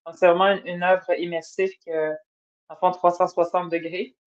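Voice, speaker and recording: A woman's voice: fairly low pitch, flat delivery, normal speed. A woman from an Atikamekw community, a guest artist speaking casually and hesitantly on a podcast. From Atikamekw community in Quebec. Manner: hesitant, many fillers and restarts. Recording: dull lo-fi sound.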